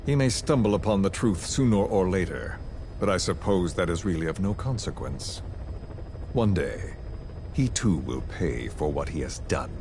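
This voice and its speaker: A man playing a cold, calculating video game villain who interrogates with measured, theatrical, falsely polite menace. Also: sits low, lively intonation, background noise. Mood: measured, sinister. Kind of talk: dramatic video game voice acting.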